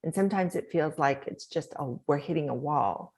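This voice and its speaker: A middle-aged woman with a soft, slightly low voice speaks as a guest on an interview show. She talks in an animated, encouraging coaching manner and laughs easily. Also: moderate pace, breathy edge. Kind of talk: podcast interview talk.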